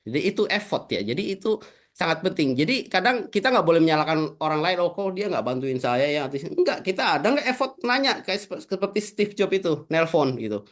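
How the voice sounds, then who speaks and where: A man's voice: on the high side, sing-song, fairly quick, fairly bright tone. Male guest speaker, casual webinar talk, tag-heavy chatter, video call audio. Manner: chatty, many fillers like gitu ya. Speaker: invited speaker.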